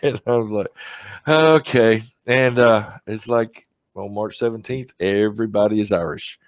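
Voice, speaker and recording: A man's voice: on the low side, measured pace, dry recording. A middle-aged man hosting a podcast, chatting casually and laughing often as he tells stories. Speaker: podcast host. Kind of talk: casual chat.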